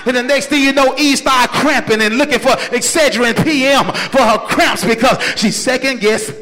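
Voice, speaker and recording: A man preaching a fiery sermon with emphatic, rhythmic repetition and colloquial phrasing, often rising into shouts and sing-song. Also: very high voice, normal speed. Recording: echoey hall with long reverb.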